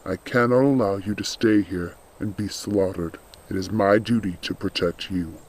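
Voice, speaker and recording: A man playing a loyal undead skeletal servant in a storybook, speaking in a grave, earnest, measured and protective way. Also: deep voice, slightly rough, rough recording. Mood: firm, protective.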